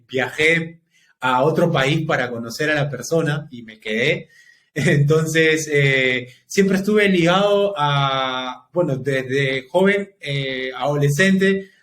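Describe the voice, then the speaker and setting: Guy, 30s, podcast guest, slightly high voice, faint noise underneath, thoughtful storyteller. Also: a bit bright. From Peru. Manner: reflective, talks in long unhurried stretches. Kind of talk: casual interview storytelling.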